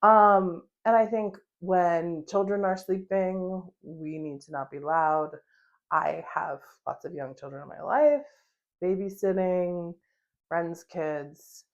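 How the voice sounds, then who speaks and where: A young American woman talks through her solo podcast in a loose, chatty way. Her delivery is animated and full of fillers. She laughs easily as she goes. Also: lowish voice. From United States. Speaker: podcast host. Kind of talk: solo casual podcast monologue.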